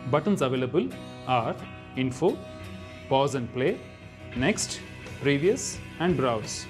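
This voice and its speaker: Male narrator for a scripted tech demo, higher-set voice than most, faint music underneath. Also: expressive, slow.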